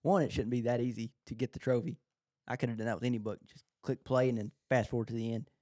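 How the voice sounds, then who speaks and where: A man's voice: mid-range voice, fairly even intonation, fast, warm tone, no room sound, clean studio sound. A man co-hosting a podcast in casual chat, with an informal, matter-of-fact way of talking. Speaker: podcast co-host. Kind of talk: casual chat about books and shows.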